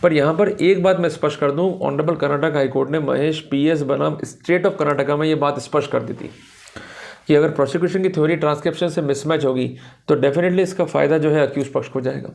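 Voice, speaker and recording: A man's voice: a little high, fast, creaky, darkish tone. A man lecturing on law in a didactic, emphatic way, explaining points to viewers and slipping into formal English phrases.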